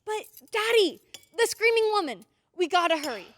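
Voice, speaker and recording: Woman as excitable young girl, very high, lively swooping pitch, insistent, old-time radio drama. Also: moderate pace, exaggerated voice. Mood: urgent pleading. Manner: pleading, urgent, dramatic.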